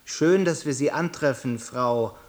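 A businesslike door-to-door salesman in a radio play, acted by a man, speaks at a medium pitch. He is courteous and takes the tone of a mentor. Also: a little slow, natural voice. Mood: polite. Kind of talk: German radio drama acting.

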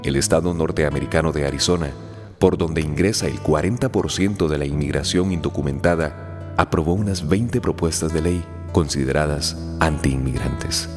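A man giving solemn, measured scripted narration for a radio drama. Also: rumbling low. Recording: music behind voice.

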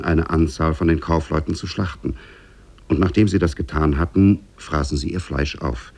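A very deep-voiced storyteller tells an old oriental tale for radio. His husky, gravelly voice moves through the scripted narration with measured deliberation. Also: darkish tone, exaggerated voice. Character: male storyteller of an Arabian Nights tale.

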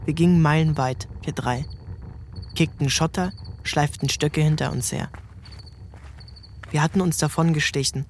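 Man narrating a story, slightly high voice. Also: a little hiss or hum. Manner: calm, measured storytelling. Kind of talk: scripted narration.

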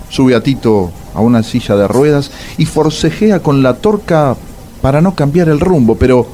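A man with an Argentine accent narrating a scripted comic story theatrically, with dramatic pauses. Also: medium pitch, dark tone, slight background noise. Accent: Argentine, Rioplatense.

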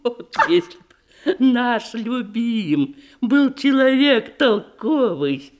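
An actress playing an old provincial widow, a bossy, effusive matchmaker in a verse radio comedy, with folksy, exclamatory delivery. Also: female voice, a little high, lively intonation. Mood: delighted, scheming. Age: older. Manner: exclamatory, folksy, drawn-out declamation.

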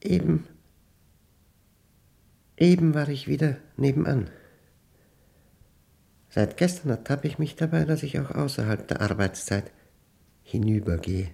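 An actor playing a lonely, orderly male mathematics professor in a German radio play monologue, speaking standard German in a reflective, pedantic, halting and self-questioning manner. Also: mid-range voice, lively intonation, creaky, dark tone. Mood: uneasy, precise.

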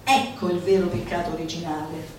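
A woman performing a theatrical, comic declamation with an emphatic, drawn-out delivery. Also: on the low side, fairly even intonation, heavy background noise. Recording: echoey live recording from far off. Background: music.

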